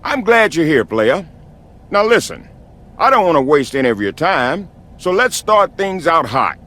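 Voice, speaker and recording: An actor playing a wrestling show boss in a video game, talking emphatically like a smooth dealmaker. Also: male voice, fairly high pitch, big pitch swings, unhurried, fairly bright tone. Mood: assured, emphatic. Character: brisk, promising male wrestling show boss. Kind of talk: video game cutscene acting.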